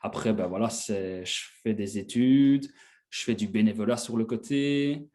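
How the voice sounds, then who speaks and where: A man's voice: on the low side, unhurried, warm tone. A man in his twenties from Belgium, casually telling his own story as an interview guest. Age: 20s.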